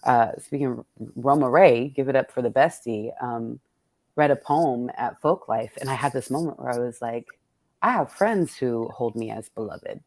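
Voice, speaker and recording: A woman's voice: very deep voice, moderate pace, a little mellow. A woman hosting a poetry event in casual, reflective conversation, thinking aloud in a playful, colloquial way. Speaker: poet hosting an event with her readers.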